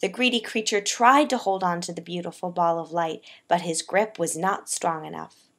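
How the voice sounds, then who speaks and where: A woman narrating a poetic tale for a puppet show in a measured, deliberate storytelling manner, letting her phrases soften as they end. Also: lowish voice.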